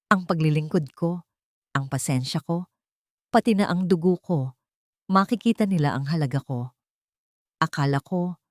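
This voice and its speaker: A woman voicing a wronged young heiress, weary and bitter but resolute, speaking in slow, sorrowful, measured phrases for an audio drama. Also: on the low side, a little mellow, dry recording, studio quality, natural voice.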